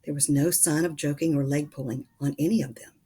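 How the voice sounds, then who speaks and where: A woman reading a children's book aloud as an expressive narrator. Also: low-pitched, brisk, breathy edge. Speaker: storybook reader.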